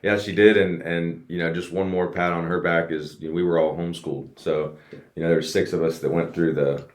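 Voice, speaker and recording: A man's voice: low voice, level tone, brisk. A man raised in the United States, a race car driver, telling his story earnestly as a guest in a casual podcast interview. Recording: roomy echo.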